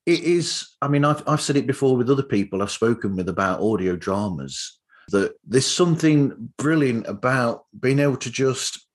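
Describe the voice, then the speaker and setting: Husky-voiced man hosting a podcast. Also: medium pitch, lively intonation, moderate pace. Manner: rambling, circling back through his thoughts. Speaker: podcast host. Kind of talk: casual interview chat.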